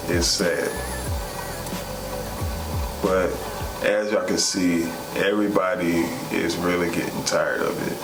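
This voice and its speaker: A man's voice: sits low, fairly even intonation, slow pace, long pauses. A man co-hosting a vlog, giving candid, conversational commentary in an earnest, plain-spoken way. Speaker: vlog co-host. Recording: roomy echo, music underneath.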